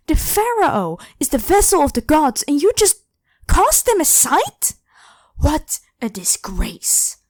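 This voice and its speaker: A woman's voice: fairly high pitch, no room sound. A woman playing an evil, haughty ancient Egyptian pharaoh who makes theatrical demands in a scripted ASMR roleplay. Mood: outraged. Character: evil, imperious ancient Egyptian pharaoh queen awakened from slumber.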